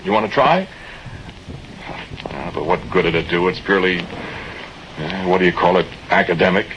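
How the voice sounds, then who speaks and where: Earnest young fellow, muffled vintage radio. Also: male voice, low-pitched, moderate pace, a bit bright. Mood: hesitant, respectful. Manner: hesitant, plain-spoken. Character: earnest, humble younger man weighing the world's fate. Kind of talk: old-time radio drama acting. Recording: dull old radio recording, noisy. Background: rain and thunder.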